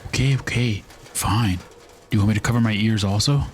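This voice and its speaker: A man voicing an excitable, incredulous sidekick in an amateur radio drama, jumpy and profane. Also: fairly low pitch, a little fast, a little hiss or hum, close to an everyday voice. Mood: flustered.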